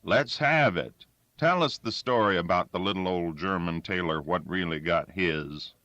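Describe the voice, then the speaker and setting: A man voicing an eager, pouty grown man who talks loudly and impatiently. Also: low-pitched, moderate pace, dry recording, muffled recording.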